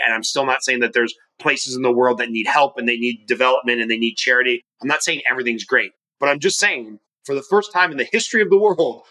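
A man in his forties, a guest on a podcast, talking fast and energetically, rambling with restarts. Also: mid-range voice, sharp tone, low-quality recording. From California. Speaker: podcast guest, mortgage professional and coach. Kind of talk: casual interview talk.

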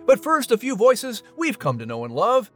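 A man's voice: very high-pitched, wildly swooping pitch, moderate pace, fairly bright tone. A man reading a scripted podcast introduction as announcer and narrator. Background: music.

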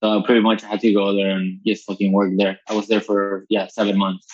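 A man's voice: lowish voice, level tone, fairly quick, husky. A man from Venezuela in his thirties, a comedian guest chatting casually, swearing freely and often restarting his sentences. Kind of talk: casual podcast chat. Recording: dull lo-fi internet call audio.

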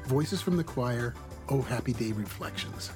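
A man's voice: medium pitch, animated, a little slow. Man hosting a podcast over music. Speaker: podcast host. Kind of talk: scripted podcast introduction. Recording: music playing underneath.

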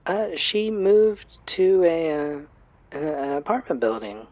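A woman's voice: deep voice, very animated, slow, no room sound, lo-fi sound, heavily acted. A woman playing a proud, trusting middle-aged mother in a tabletop audio drama, chatting politely in a hesitant, rambling way. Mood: fond, rambling.

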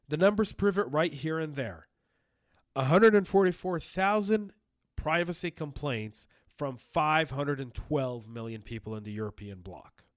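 A man hosting a privacy podcast, hoarse from being sick, talking in an emphatic, argumentative way. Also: medium pitch, no room sound, studio quality. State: hoarse from illness. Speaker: podcast host. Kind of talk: opinionated podcast monologue.

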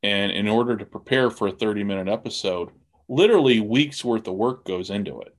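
A man's voice: fairly low pitch, lively intonation, brisk. A man hosting a podcast and chatting casually as he interviews guests. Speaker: podcast host interviewing producers. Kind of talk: casual conversation.

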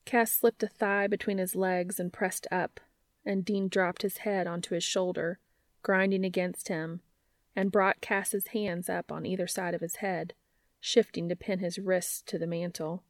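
Lowish-voiced woman narrating, very dry sound. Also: flat delivery, unhurried, dry recording. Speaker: fan fiction narrator. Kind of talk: scripted story narration.

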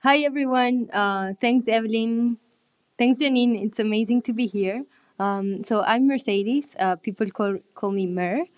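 A woman's voice: a little high, unhurried, no echo. Woman, close dry sound. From Uruguay. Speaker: podcast guest, architect. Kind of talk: casual interview talk.

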